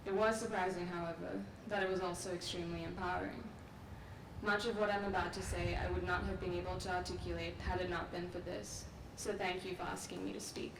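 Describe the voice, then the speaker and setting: A young woman from Sri Lanka giving a measured, earnest graduate speech at an outdoor commencement through a podium microphone. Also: lowish voice, level tone, gravelly. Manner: measured, earnest, reflective delivery. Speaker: graduate student speaker. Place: large outdoor courtyard ceremony. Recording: echoey, distant, with noise.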